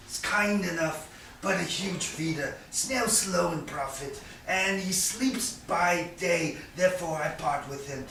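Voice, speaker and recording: A man playing a stern, miserly old father in a staged Shakespeare reading, declaiming in a deliberate, scolding way. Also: high voice, bright, forceful tone, far from the mic, full character voice. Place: echoey indoor room at a staged reading. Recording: echoey room from afar, faint noise.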